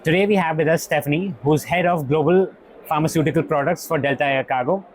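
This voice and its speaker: A man's voice: fairly high pitch, fast talker, slight background noise. A man hosting an interview podcast, giving a scripted welcome and then short, brief questions. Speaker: host. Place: exhibition hall.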